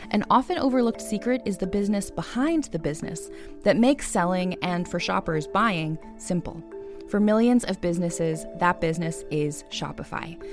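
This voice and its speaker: Female ad reader, mid-pitched, scripted podcast sponsorship over clear background music.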